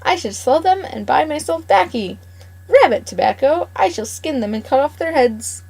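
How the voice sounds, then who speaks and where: A greedy old gardener drags out every number as he counts, gloating over his catch, put on by a woman reading aloud. His voice sits raised and a touch pinched, and he lingers on "leetle" with slow relish, fit for a storybook. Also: sits high, lively intonation, vocal fry, darkish tone, voice pushed up, poor audio. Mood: indignant.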